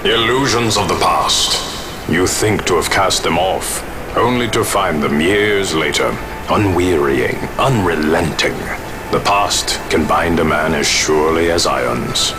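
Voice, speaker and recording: An actor voicing a grave, world-weary former warrior in a video game, speaking solemnly in formal, archaic phrases. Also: male voice, measured pace, background noise. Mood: brooding. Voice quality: deep. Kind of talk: dramatic video game voice acting. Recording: loud music behind the voice.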